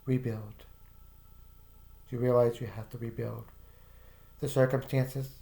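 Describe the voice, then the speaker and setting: A man slowly narrating a guided visualization in the second person, calm and soft-spoken with casual fillers. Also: medium pitch, gravelly.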